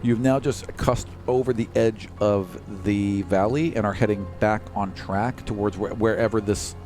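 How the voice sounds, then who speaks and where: A man's voice: fairly low pitch, fairly even intonation, normal speed. Man narrating a fantasy game over music. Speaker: game master. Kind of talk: improvised tabletop game narration. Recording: loud music bed under the voice. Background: background music.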